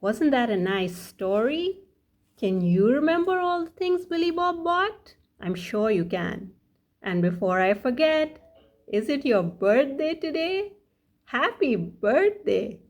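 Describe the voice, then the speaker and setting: A woman reading a children's story aloud in a deliberate, sing-song way, speaking directly to young listeners. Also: sits high, big pitch swings.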